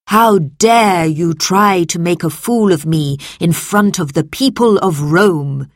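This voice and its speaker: A woman's voice: fairly low pitch, animated, a little slow, no room sound, studio quality. A woman voicing a furious, pompous male Roman senator in a children's storybook, with an imperious, threatening delivery. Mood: outraged.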